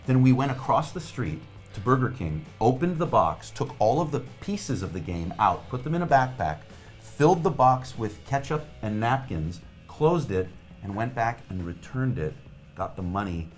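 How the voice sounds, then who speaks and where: A man talks as an interview guest, and his voice rises and falls a great deal as he spins his stories. He sits at a middle pitch and speaks with eager enthusiasm. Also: normal speed, faint music behind. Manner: animated storyteller, enthusiastic. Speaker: actor, cast member. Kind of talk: casual interview chat.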